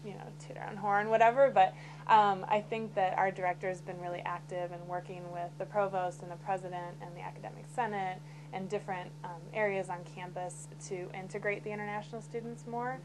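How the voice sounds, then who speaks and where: A woman's voice: medium pitch, steady tone, fairly quick, noisy background. A woman who works at a university's international programs office, talking in an interview in a chatty, casual way with fillers.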